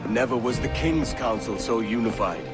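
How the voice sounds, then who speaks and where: A man's voice: mid-range voice, normal speed, over loud music, close to an everyday voice. A man playing a bold, sardonic pirate captain in a video game cutscene. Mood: rousing, theatrical.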